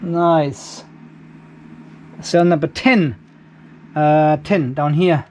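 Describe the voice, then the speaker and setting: A middle-aged man with a notably high voice talks through a practical job in a garage workshop. He is informal and chatty, thinking aloud as he demonstrates. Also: fairly even intonation, extremely slow. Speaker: host of a DIY off-grid battery channel. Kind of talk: casual hands-on demonstration talk.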